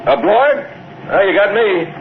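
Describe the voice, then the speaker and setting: Casting: mocking hoodlum henchman for 1950s radio play, echoing sound with clear background noise. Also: high-pitched, animated, normal speed. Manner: mocking, slangy tough-guy talk. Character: callous wisecracking henchman of a space crime boss. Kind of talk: 1950s radio drama acting. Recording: tinny, echoey, noisy old radio broadcast.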